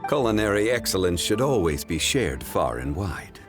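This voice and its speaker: A man voicing an ancient, wise, scholarly gentleman in a video game cutscene, speaking in a formal, measured and reflective way. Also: low voice, very animated, music in the background. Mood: musing. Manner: formal, measured, eloquent, contemplative.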